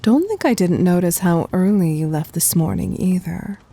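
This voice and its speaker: A woman voicing a commanding, possessive yet tender demon queen in an ASMR roleplay, soft, husky and often near a whisper, with imperious, teasing delivery. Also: lowish voice, expressive, normal speed, full character voice. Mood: pouting, reproachful.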